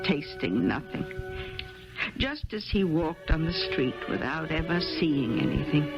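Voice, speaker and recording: An actress playing a dry, kindly, plain-spoken woman tailor in a 1970s radio drama. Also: deep voice. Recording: dull vintage 1970s radio broadcast.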